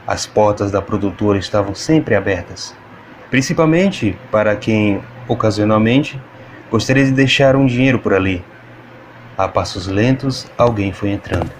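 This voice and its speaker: Narrador brasileiro de voz grave. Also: male voice, lowish voice, normal speed, a little hiss or hum. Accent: Brazilian. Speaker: audiobook narrator. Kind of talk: scripted dramatic narration. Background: music.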